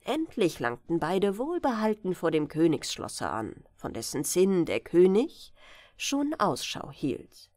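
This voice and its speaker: A woman's voice: lowish voice, sing-song, measured pace, darkish tone, dry recording, studio quality, soft background music. A woman narrating a bedtime fairy tale as an audiobook reader.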